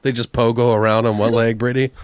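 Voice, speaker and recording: A man's voice: medium pitch, level tone, quick pace, no echo, studio quality. A man co-hosting a podcast, brainstorming casually in a joking, foul-mouthed way. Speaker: podcast co-host.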